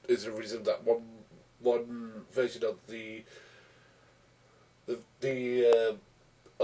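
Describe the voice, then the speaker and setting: Hoarse young man with a cold, argumentative vlogger, dark tone, set back from the mic. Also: on the low side, far from the mic, rough recording. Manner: frequent restarts, argumentative. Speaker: YouTube commentator. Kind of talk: casual rebuttal vlog.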